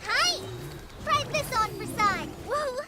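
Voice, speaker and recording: A small childlike video game fighter with an extremely high, lively voice. The short spirited battle calls come through under loud game noise. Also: female voice, slow, far from the mic, exaggerated voice. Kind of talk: video game combat barks. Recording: noisy game audio under a stream.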